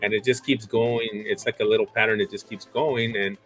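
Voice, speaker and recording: A man in his forties chats as a guest in a loose, easygoing way, his thoughts often coming out in starts and stops. His voice is on the low side, with soft music faintly behind him. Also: a little fast, rough recording. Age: 40s. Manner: relaxed, many fillers and restarts. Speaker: guest reseller. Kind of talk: casual chat.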